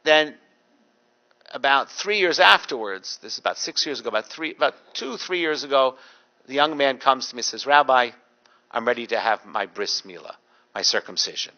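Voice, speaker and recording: Lively man telling dry-humored anecdotes. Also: mid-range voice, normal speed, muffled recording. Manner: animated, mimics voices in anecdotes. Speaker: rabbi giving a public talk. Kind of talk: storytelling lecture with dry humor.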